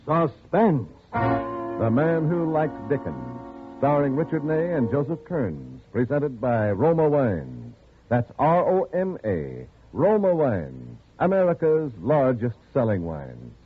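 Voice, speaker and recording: A man announcing in 1940s radio style, reading a scripted sponsor spot with measured, polished salesmanship. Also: mid-range voice, wildly swooping pitch, soft background music. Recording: tinny, fuzzy old radio broadcast.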